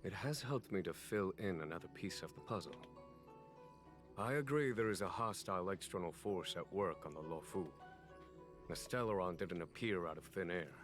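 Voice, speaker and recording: A man acts out a game general with a very low voice. He is composed, formal and measured in his authority, with prominent music behind him. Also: natural voice. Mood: calm, authoritative. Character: calm, authoritative adult male general. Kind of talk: video game voice acting.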